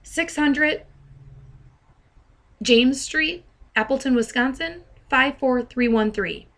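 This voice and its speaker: A woman trainer narrating an instructional software demonstration, speaking deliberately and enunciating dictated commands. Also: sits high. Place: voice-over to a screen recording.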